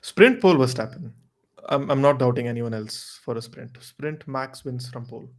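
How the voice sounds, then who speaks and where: A man offers casual analysis as a podcast panelist. His voice sits at a medium pitch, and he reasons earnestly, sometimes repeating a phrase as he works through a point. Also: normal speed.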